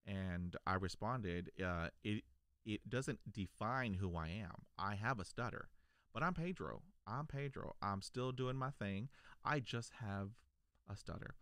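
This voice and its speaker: A man who stutters, repeating first sounds, speaks earnestly to his viewers from a small home studio. His voice sits on the low side and comes through a close podcast microphone with a very dry, dead sound. He stays encouraging throughout. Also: lively intonation, measured pace, a bit bright, smooth, dry recording. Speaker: vlogger, podcast host. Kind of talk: personal talk to camera. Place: home studio room, indoors. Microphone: large podcast mic on a boom arm.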